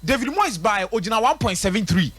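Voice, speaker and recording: A man's voice: sits high, sing-song, fairly quick, fairly bright tone, no echo. A man with a Ghanaian accent giving emphatic football analysis on live radio, repeating his points rhetorically for effect. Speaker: radio sports pundit. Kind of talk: live football commentary and analysis, mixing local language and English.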